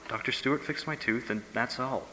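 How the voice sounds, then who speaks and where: An actor playing an evasive, dismissive young husband in a radio drama, brushing off questions with flat reassurance. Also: male voice, medium pitch, brisk, lightly acted. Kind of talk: stage radio drama acting. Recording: echoey hall with noise and hum.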